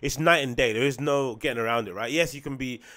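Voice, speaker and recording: A young man with a London accent, a bit raspy today, hosting a casual solo podcast from a small home room on a close boom mic, rambling with slang and swearing. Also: fast, pushed and bright. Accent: London English. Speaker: podcast host and DJ. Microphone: studio mic on boom arm close to mouth.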